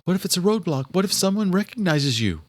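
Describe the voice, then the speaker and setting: A man's voice: very animated, fast talker, no room sound. A man voicing a determined, anxious woman in a thriller audiobook, speaking with urgent insistence. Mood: tense, brave. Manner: urgent, insistent, raised pitch. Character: determined, anxious young woman, defiant and brave. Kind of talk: horror thriller audiobook character.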